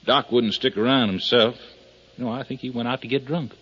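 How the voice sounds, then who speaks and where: A wry middle aged cop from 50s radio, tense hard-edged voice, kinda muffled old recording. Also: male voice, mid-range voice, steady tone, moderate pace, a bit bright, breathy edge. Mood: sardonic, grim. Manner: wry, baffled, folksy slang. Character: seasoned, sardonic male police detective. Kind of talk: 1950s radio detective drama acting. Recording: dull 1950s radio recording.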